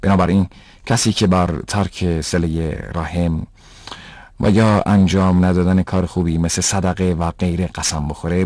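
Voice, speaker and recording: An actor playing a patient, pious father who explains in a measured, didactic way in a dubbed educational cartoon. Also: low voice, a little mellow, no room sound, clean studio sound. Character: calm pious father, patient moral teacher.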